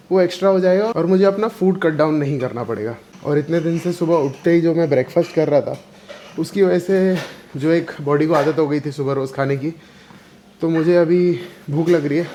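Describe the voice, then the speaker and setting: Young male fitness vlogger, sore throat, mellow tone, light background noise, chatty delivery. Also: a little high, highs cut off. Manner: chatty, mixes English phrases into Hindi. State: sore throat, a bit of a cold. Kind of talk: casual vlog talk to camera. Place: home, then gym.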